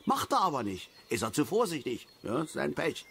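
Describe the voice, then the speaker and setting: A man's voice: a little high, sing-song, moderate pace, vocal fry, a bit bright, a little hiss or hum, heavily acted. An actor playing a cantankerous, opinionated middle-aged father and angler in a radio play, lecturing and easily worked up. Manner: blustering, lecturing, repeats words in agitation. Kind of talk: naturalistic radio play acting.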